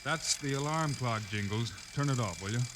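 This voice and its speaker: An actor playing a calm, authoritative American marshal in a 1950s radio western, speaking in a measured, dry way. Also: male voice, medium pitch, close to an everyday voice. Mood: patient. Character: calm, authoritative male frontier marshal hero. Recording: noisy old radio recording.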